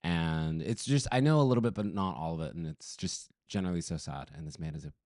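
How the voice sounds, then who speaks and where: A man's voice: low-pitched, fast talker, a little mellow, no echo, clean studio sound. A man chatting casually as a podcast guest, campy, gossipy and sweary, with a voice coming off a cold. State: getting over a cold.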